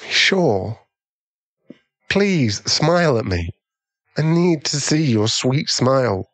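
A man acts out a devoted millionaire boyfriend who gives in easily, in an intimate ASMR audio drama. His pace is slow and halting, with pleading phrases and sighs. The sound is close and dry, at a middling male pitch. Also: very animated, fairly bright tone, no echo, full character voice. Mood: anxious, pleading. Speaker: ASMR roleplay voice actor.

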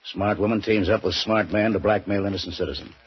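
An actor playing a gruff, no-nonsense male police lieutenant, in 1940s radio drama style. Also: on the low side, fairly even intonation, quick pace. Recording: muffled 1940s radio broadcast.

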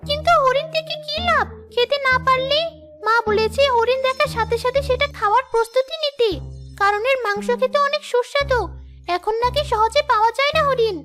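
A performer voicing a naive, eager little ghost schoolgirl with a squeaky childlike voice in a children's cartoon. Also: brisk, fairly bright tone, soft background music. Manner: eager, innocent.

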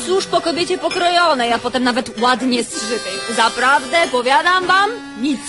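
A woman's voice: high voice, lively intonation, normal speed, over music. An actress playing a sardonic, oracular chorus woman in a radio play, declaiming with irony. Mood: ironic. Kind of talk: theatrical radio play recitation.

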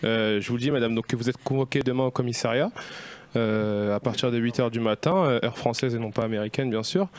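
A young man playing an officious, insistent police colonel on a prank call, formal and deadpan. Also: mid-range voice, fast talker, voice pushed down, lightly acted. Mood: stern, insistent.

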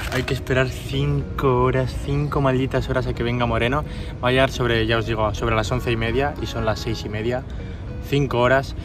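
A man's voice: medium pitch, flat delivery, normal speed, music in the background. A young man from Spain talking casually to his phone camera outdoors, calling his viewers "gente" and "chavales". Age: 20s. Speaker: travel vlogger. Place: outdoors near an airport, by a parking lot. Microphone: handheld phone camera at arm's length.

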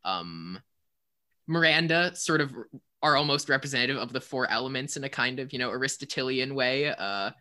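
A man's voice: mid-range voice, pushed and bright. A man in a casual panel discussion, talking in a rambling, hedging, thoughtful way as a sound designer. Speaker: co-composer and sound designer of a theater show.

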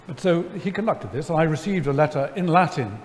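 A man's voice: fairly high pitch, a little fast. Middle-aged man, wry storyteller, headset mic, long church reverb, faint room hum. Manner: animated, gesturing, wry, many ums and restarts. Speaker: lecture guest. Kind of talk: storytelling in an on-stage conversation. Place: large church sanctuary before an audience. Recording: hall reverb, faint ambience.

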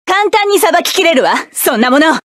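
A woman's voice: very high-pitched, big pitch swings, fairly quick, no echo, studio quality. An actress voicing a proud, commanding adult woman mecha pilot, delivering curt battle lines for a video game. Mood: fierce, commanding. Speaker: game character.

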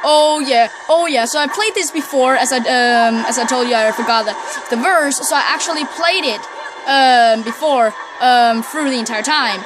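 A woman's voice: high-pitched, moderate pace, low-quality recording. Chatty young woman gaming. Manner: chatty, rambling with many ums and laughs. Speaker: gamer playing through a game. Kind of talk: casual gameplay commentary. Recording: talking over loud game music.

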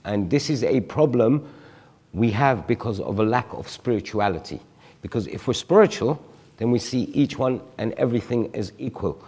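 A man's voice: medium pitch, lively intonation, moderate pace, a little mellow, slightly rough, big-room sound. A man in his forties, a guest on a studio panel discussion, explaining his view reflectively with examples. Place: TV studio stage.

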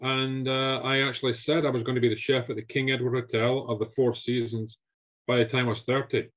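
A Scottish man in his sixties tells his story with lively enthusiasm, often doubling up words as he goes. His voice sits at an ordinary pitch and comes through a home video call. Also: brisk. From Scotland. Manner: animated, repeats words, gestures with hands. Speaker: guest chef. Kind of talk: storytelling interview answers. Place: home room with shelves.

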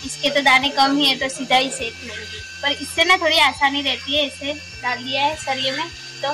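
A woman's voice: high voice, steady tone, moderate pace, low-quality recording. A young woman vlogging casually while she does household chores, explaining each task to viewers on a phone held at arm's length outdoors. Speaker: village vlogger. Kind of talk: casual vlog narration while doing chores. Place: rural home courtyard and fields, outdoors. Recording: music playing behind the voice.

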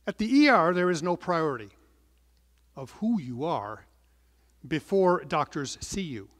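A middle-aged man preaching a sermon from the pulpit, earnest and measured, stressing some words and letting phrase endings fall soft. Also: fairly high pitch, big pitch swings. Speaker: pastor. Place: large wood-panelled church, indoors. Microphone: pulpit microphone. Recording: long church reverb.

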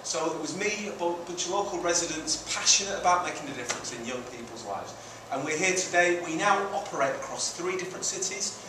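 A man's voice: a little high, animated, brisk, few pauses. A middle-aged man from Manchester and Italy giving an informal presentation as a charity founder, with rhetorical questions and emphatic repeated phrases. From Manchester, England, and Italy. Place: indoor community centre meeting room. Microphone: distant camera at the back of room. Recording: very echoey room, distant mic, noisy.

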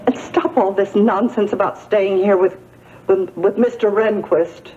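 A woman's voice: medium pitch, steady tone, a little slow, dark tone, close to an everyday voice. An older actress playing a stern, disapproving woman in a soap opera, admonishing and insistent. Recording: muffled 1980s TV tape, music behind.

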